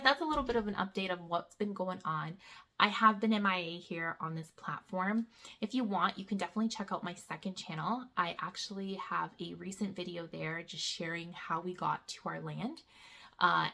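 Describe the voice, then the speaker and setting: A chatty woman in her thirties talks casually to her viewers about homeschooling, calling them "guys" as she goes. Her voice sits on the low side and has a gravelly, fry-edged texture. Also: sharp tone. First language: not Portuguese. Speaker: homeschool vlogger. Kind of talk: casual chat to camera.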